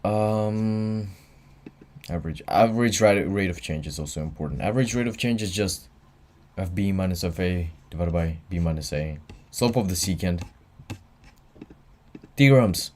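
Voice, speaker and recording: A man's voice: low voice, normal speed, pauses often, darkish tone. A tired young man running a livestreamed exam review, talking offhand and answering chat between explanations. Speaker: student tutor.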